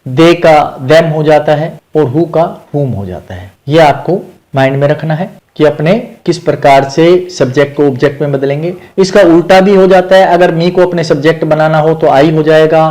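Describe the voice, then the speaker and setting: A man's voice: on the high side, a little mellow. A man with an Indian accent explaining grammar as a teacher, methodically repeating key points and mixing in English terms.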